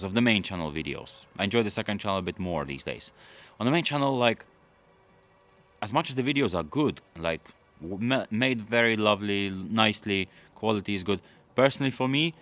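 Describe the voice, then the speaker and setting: A man who grew up in London, speaking Russian as his first language, answering viewer questions in a relaxed, rambling casual chat. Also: on the low side. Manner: relaxed, rambling, with frequent fillers and restarts. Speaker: automotive YouTuber.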